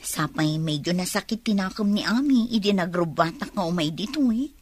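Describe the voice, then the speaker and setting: A woman's voice: fairly low pitch, lively intonation, moderate pace, breathy edge. An actress playing an opinionated woman in a family radio drama, with a Filipino Ilocano accent. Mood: concerned.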